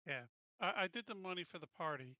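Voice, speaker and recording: A man's voice: sits high, expressive, fast talker, bright, forceful tone, dry recording, lo-fi sound. A man taking part as a player in an online tabletop game, talking casually.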